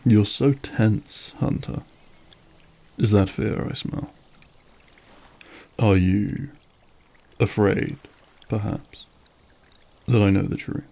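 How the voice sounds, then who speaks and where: A man's voice: lowish voice, slow pace, creaky, pauses often, warm tone, exaggerated voice. A male actor playing a suave, menacing, manipulative vampire lord in an audio roleplay, silky, mocking and formal as he savors each phrase. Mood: gloating, taunting. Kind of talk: scripted audio roleplay acting.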